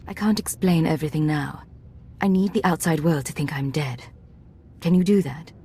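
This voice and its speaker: An actress playing a self-possessed imperial secret agent in a game, speaking in a composed, crisp, dry manner. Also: female voice, low-pitched, normal speed, dark tone, a little hiss or hum. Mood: urgent, composed. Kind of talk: video game drama acting.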